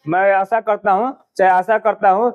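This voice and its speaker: High-pitched man teaching, dull lo-fi sound. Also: fairly even intonation, clear, resonant tone. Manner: repetitive questioning, drawn-out English words. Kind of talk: classroom poem explanation.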